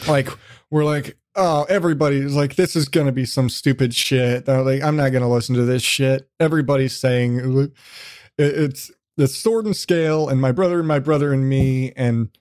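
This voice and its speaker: A man's voice: medium pitch, moderate pace, darkish tone, dry recording, clean studio sound. A man hosting a podcast, chatting in a casual, rambling way with jokey, self-deprecating, profane remarks. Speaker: podcast host. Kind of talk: casual, rambling interview chat.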